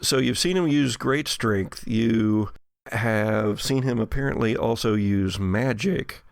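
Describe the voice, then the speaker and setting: A man working as game master, improvising descriptive horror narration for a tabletop role-playing game in a halting storyteller's way. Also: on the low side, vocal fry, smooth, no room sound, studio quality. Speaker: game master (the Keeper) of an actual play podcast.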